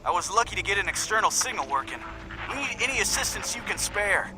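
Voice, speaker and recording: A man's voice: high-pitched, sing-song, fast talker, music in the background, rough recording. A man playing a harried space station officer in a video game, urgently calling for help in a strained voice.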